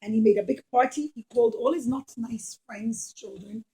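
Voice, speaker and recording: A woman's voice: a little high, moderate pace, dark tone. A woman storytelling for children at a puppet show, speaking in simple, emphatic phrases and addressing the young listeners directly. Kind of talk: animated storytelling for children, asking questions.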